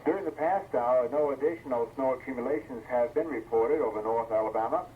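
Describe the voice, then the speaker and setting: A man's voice: mid-range voice, a little fast. A man reading a scripted weather forecast as an announcer on an old weather radio broadcast. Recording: muffled, noisy 1980s weather radio broadcast.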